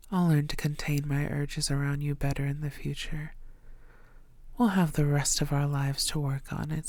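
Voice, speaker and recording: A woman playing an ancient, seductive female vampire, lovestruck and possessive, murmuring tenderly in breathy tones and whispers in an intimate ASMR audio roleplay. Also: low-pitched, a little mellow, dry recording. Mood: soothing, teasing. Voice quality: breathy, often dropping to whispers.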